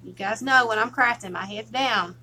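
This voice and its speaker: A woman hosting a casual crafting livestream, chatting softly with viewers while she works. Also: fairly high pitch, moderate pace, a little hiss or hum, low-quality recording. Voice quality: soft, relaxing voice.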